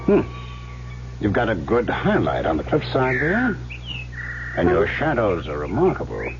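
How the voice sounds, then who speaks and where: A man's voice: lowish voice, huge pitch swings, measured pace, over music, exaggerated voice. An actor playing a cocky, silver-tongued gangster in an old-time radio drama, teasing and insistent as he works on his listener. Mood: playful, boastful. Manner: smooth-talking, insistent, theatrical, teasing. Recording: muffled vintage radio broadcast, faint hum.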